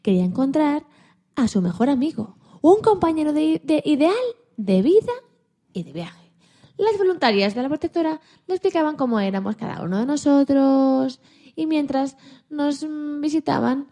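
A high, mellow voice tells a children's radio tale as a timid young dog played by a woman. He is tender and hopeful, lingering on phrases with expressive, halting storytelling. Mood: lonely then hopeful. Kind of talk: children's story radio play, first-person narration.

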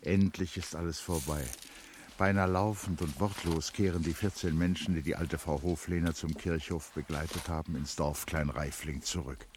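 Deep-voiced man narrating a radio play. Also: fairly even intonation, moderate pace, noisy background. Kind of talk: literary scripted narration.

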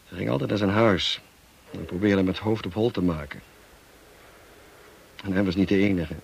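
A man acting a tormented, bitter husband in a Dutch radio play, speaking gravely with dramatic pauses. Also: low-pitched. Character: tormented, bitter husband confessing to his young wife. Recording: noisy old radio play recording.

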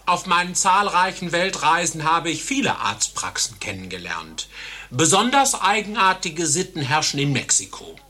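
Self-important globetrotter, radio satire: high-pitched, sharp, animated, lecturing. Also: male voice, moderate pace, heavily acted. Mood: smug, lecturing. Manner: pompous, lecturing. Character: self-important, well-travelled male patient, serenely absurd raconteur. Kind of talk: satirical radio play acting.

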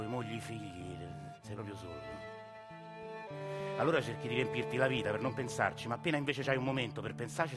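A man's voice: medium pitch, natural voice. An actor playing a lonely, bitter working-class man with a Roman accent, delivering a theatre monologue that swings from muttering to sarcastic outbursts. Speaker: stage actor. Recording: live stage recording over guitar. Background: guitar music.

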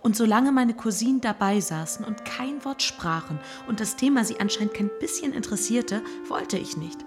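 An actress playing a shy, dutiful young gentlewoman in a radio drama, modest and a little hesitant. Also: a little high, expressive, moderate pace, over music. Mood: shyly defensive. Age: young adult.

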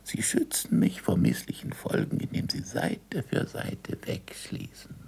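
An older actor playing a weary, disgraced scientist in a German radio play, guarded and bitterly ironic, with a gravelly, often hushed voice. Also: male voice, unhurried, natural voice. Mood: hushed, confiding.

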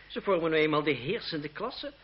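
An actor playing a cynical, witty young French lawyer in a radio drama, with an ironic, condescending, urbane manner. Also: male voice, a little high, expressive, normal speed, lightly acted. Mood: dry irony. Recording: muffled, hissy old radio drama recording.

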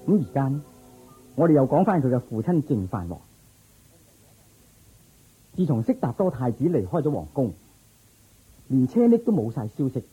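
A man's voice: medium pitch, sing-song, moderate pace, long pauses, warm tone, husky. A man delivering scripted narration for a radio drama, as its storyteller. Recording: dull lo-fi radio recording. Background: music.

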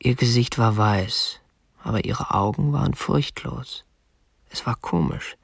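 Casting: young obsessive kidnapper, stiffly polite, matter-of-fact, gravelly edge, radio drama. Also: male voice, mid-range voice, measured pace, dry recording, clean studio sound. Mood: detached, confessional. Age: young adult. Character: prim, obsessive young male kidnapper confessing his crime. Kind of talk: radio drama narration and dialogue.

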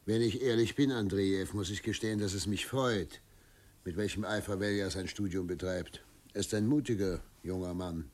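Pompous, self-assured middle-aged governor. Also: male voice, deep voice, a little mellow. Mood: confident, patronizing. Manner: measured, declamatory, pompous. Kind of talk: German radio drama acting.

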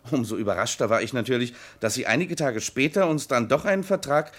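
A man's voice: medium pitch, quick pace, natural voice. An actor playing a talkative, slightly flustered insurance salesman in a vintage radio crime drama. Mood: puzzled.

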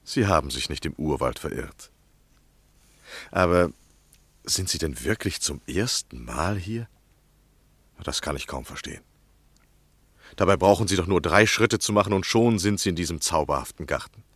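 Deep-voiced, courtly literary gentleman. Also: expressive, moderate pace, pauses often, breathy edge, no room sound, exaggerated voice. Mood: coaxing, reassuring. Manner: polite, formal, gallant, insistent. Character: courtly, persuasive male literary journalist, eagerly probing. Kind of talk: 1960 German radio play acting.

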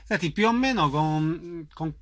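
A man's voice: on the high side, expressive, measured pace. A man hosting a radio interview, introducing guests and asking questions. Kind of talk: radio interview on theatre events.

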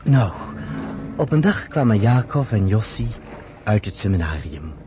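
An actor in a radio play voicing an earnest, patient storyteller, with measured, rhetorical phrasing. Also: male voice, on the low side, big pitch swings. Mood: patient, fond. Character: earnest, patient male storyteller explaining his people's history. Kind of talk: radio drama acting. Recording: slightly noisy old radio recording.

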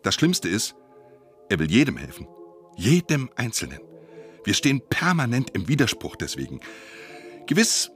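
An actor in a German radio play playing an agitated official, speaking emphatically and indignantly. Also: male voice, medium pitch, huge pitch swings, moderate pace, long pauses, soft background music. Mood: indignant, emphatic.

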